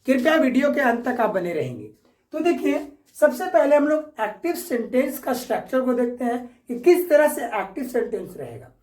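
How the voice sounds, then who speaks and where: A woman's voice: high voice, sing-song, moderate pace, a little mellow. A woman with an Indian accent teaching grammar step by step like a classroom lesson, repeating each point and checking understanding. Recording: echoey room, distant microphone.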